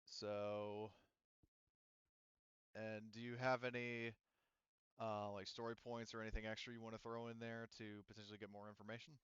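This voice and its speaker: Male game master, smooth clear voice, halting explanatory delivery, dry but slightly dull recording. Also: lowish voice, level tone, fairly bright tone, no echo. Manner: halting, explanatory, hedging with asides. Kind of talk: improvised tabletop game narration and rules talk. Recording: muffled, dull microphone sound.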